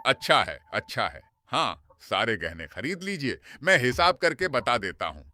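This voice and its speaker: A man's voice: medium pitch, big pitch swings, normal speed, pushed and bright. Guy doing a fawning cartoon shopkeeper, voice swoops all over, repeats himself, faint music. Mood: eager, fawning. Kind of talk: Hindi animated comedy dubbing.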